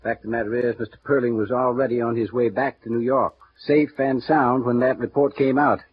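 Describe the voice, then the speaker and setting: An actor playing a smooth, guarded male insurance executive who speaks in measured, confiding tones, in a 1950s radio drama. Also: medium pitch, level tone, lightly acted. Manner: measured, confiding, halting with small pauses. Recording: muffled vintage radio broadcast with faint hiss.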